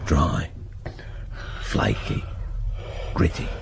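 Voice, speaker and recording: A man playing a bitter, stubborn long-term prisoner in a radio drama, speaking in terse, brooding fragments with a low, gravelly voice. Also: extremely slow, noisy background. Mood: brooding, bleak. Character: long-serving male prisoner protesting his innocence, bitter, stubborn, brooding. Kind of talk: radio drama acting, intimate first-person monologue.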